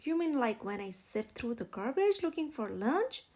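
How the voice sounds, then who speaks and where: Woman voicing a greedy, high-voiced storybook pig. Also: big pitch swings, normal speed, a little mellow. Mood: hungry, eager. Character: greedy pot-bellied pig, keen taster in storybook.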